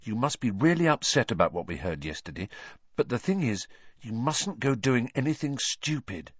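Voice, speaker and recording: A man's voice: medium pitch, sing-song, normal speed, husky, no echo, highs cut off, voice pushed up. A man voicing an anxious, bookish teenage girl in a novel, with urgent, panicky pleading.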